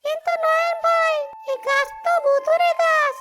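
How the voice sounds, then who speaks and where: A woman's voice: extremely high pitch, steady tone, moderate pace, loud music behind the voice. A woman voicing a nervous, superstitious male woodcutter's sidekick in a cartoon, anxious and pleading. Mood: fearful. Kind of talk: cartoon voice acting.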